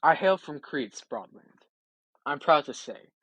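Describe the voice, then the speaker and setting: A man's voice: a little high, expressive, a little slow, a bit bright, no echo, low-quality recording. A man voicing a wily, proud old wanderer in an epic audiobook, emphatic and declamatory. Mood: proud, reflective. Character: wily old male wanderer, proud, oath-swearing storyteller.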